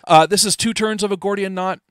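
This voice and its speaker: Middle aged guy, slightly high voice, explaining techy slides casually, pretty dry sound. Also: fairly even intonation, fairly quick, clear, resonant tone, dry recording. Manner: explanatory, plain-spoken. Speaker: amateur radio presenter. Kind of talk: informal technical slide presentation.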